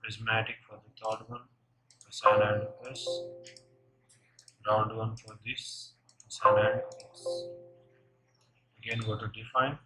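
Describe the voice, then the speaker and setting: A man narrating a step-by-step software tutorial, unscripted and halting as he thinks aloud while working. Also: fairly low pitch, little pitch movement, over loud music. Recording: noisy room, keyboard and mouse clicks.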